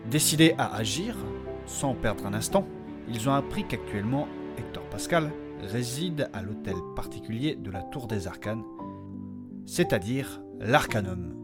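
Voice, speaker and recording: A man's voice: medium pitch, animated, unhurried. A man narrating a scripted recap of a fantasy story in a dramatic storytelling style. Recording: loud guitar music behind voice.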